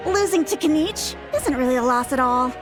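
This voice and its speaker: A woman's voice: very high voice, huge pitch swings, a little slow, loud music behind the voice, heavily acted, rough recording. A woman playing a young, eager, cheerful female onlooker in an anime game.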